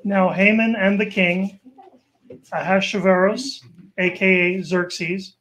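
A man narrating an old-time radio show in a solemn, deliberate storytelling manner. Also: high-pitched, steady tone, fairly bright tone. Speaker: narrator of an amateur radio play. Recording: noisy amateur live recording.

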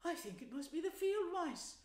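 Woman voicing a high-pitched, earnest storybook mole. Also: animated, moderate pace, roomy sound. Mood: touched pride. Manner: earnest, eager, emotional. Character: gentle male mole, proud, eager, easily distressed host. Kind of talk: children's storybook character reading.

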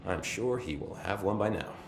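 A man's voice: lowish voice, lively intonation, moderate pace, noisy background. A man voicing a young security chief, wisecracking and chuckling in a casual way. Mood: wry. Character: young male security chief, wry, jokey. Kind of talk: video game cutscene. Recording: echoey room.

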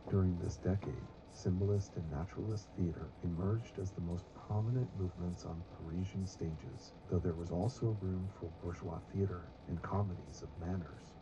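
A man reading scripted documentary narration in a formal, measured manner. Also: low voice, muffled recording, background noise.

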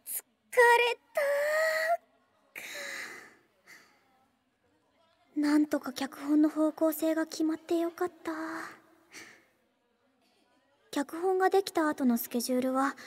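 A woman playing a gentle, earnest teenage schoolgirl in a Japanese anime game, with a soft, hesitant, friendly manner. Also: high voice, steady tone, fairly quick, no room sound, natural voice. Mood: weary, musing.

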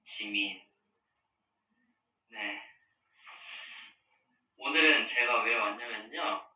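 A young man in his twenties chatting casually to fans on a livestream, soft-spoken and drifting into murmurs and whispers. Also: fairly low pitch, drawn-out words, far from the mic. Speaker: livestream host. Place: room with dark wall at a long table, indoors. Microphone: device camera across the table. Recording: roomy, from slightly off-mic.

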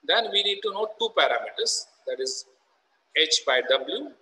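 A man's voice: high-pitched, animated, moderate pace, bright, forceful tone, big-room sound, low-quality recording. A man, an engineering professor explaining a technical topic over slides, repeating key terms and saying "okay" often. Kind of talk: recorded lecture over slides.

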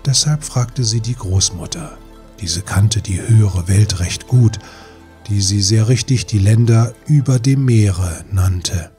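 Very breathy male fairy-tale narrator. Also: fairly low pitch, slow pace, warm tone. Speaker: audiobook narrator. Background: music.

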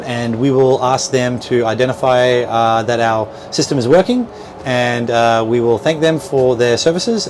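A man in his thirties giving an informal, instructional walkthrough, explaining things with hesitant, repeated words. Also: medium pitch. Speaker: boat dealer presenter. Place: small boat cabin at a marina. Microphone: clip-on lapel mic. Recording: noisy boat cabin with steady background hum.

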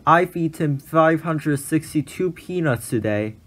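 A big, drowsy storybook elephant, read by a man. He talks very slowly, in a sleepy, yawning way. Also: a little high, lively intonation.

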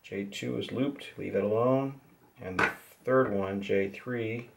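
A man with a low, gravelly voice talks slowly through a hands-on repair at a workbench, pausing and muttering to himself as he works. The camera mic picks him up in a noisy home workshop. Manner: slow, halting, talks to himself while working. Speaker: hobbyist showing a radio modification. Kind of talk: casual hands-on demonstration. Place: indoors at a wooden workbench.

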